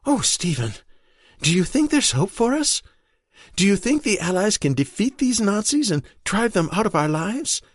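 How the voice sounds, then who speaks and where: A frightened, tearful wife in wartime, her voice pushed high and pleading, as a male reader puts her on. She sounds close and dry, with no room around her. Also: big pitch swings, moderate pace, no room sound. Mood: fearful. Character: frightened middle-aged woman in wartime, tearful.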